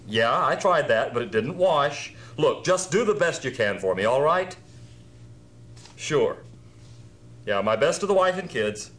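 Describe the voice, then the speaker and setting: A man's voice: on the high side, fairly bright tone. A man playing a harried, sarcastic theme park manager in an audio drama, talking with exasperated emphasis. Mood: irritated.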